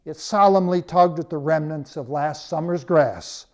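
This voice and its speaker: A man reading his own story as scripted narration, with measured, dramatic phrasing and pauses. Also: fairly high pitch.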